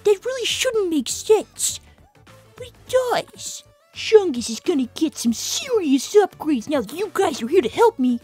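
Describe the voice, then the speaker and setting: A woman voicing a bright, earnest twelve-year-old boy fox inventor in a fan-made cartoon, chiding and exasperated. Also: very high-pitched, big pitch swings, a little slow, faint music behind, heavily acted. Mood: upbeat, matter-of-fact. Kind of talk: fan-made animated series voice acting.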